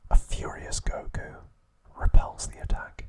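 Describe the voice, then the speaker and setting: A man hosting an ASMR video, giving a whispered recap with playful asides. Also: unhurried. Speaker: ASMR channel host.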